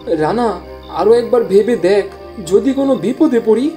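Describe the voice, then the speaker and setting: A man's voice: high-pitched, expressive, normal speed, warm tone, soft background music, voice pushed up. A man voicing an anxious, hesitant young village man in a cartoon story, repeating words nervously. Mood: frightened.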